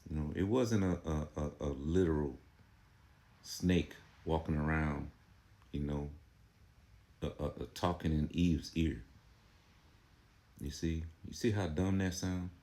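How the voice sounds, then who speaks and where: A man's voice: very deep voice, measured pace, long pauses, warm tone. A man giving casual commentary on religious teaching, preachy and argumentative, full of "you know" and rhetorical questions. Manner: repetitive, filler-heavy, rhetorical questions.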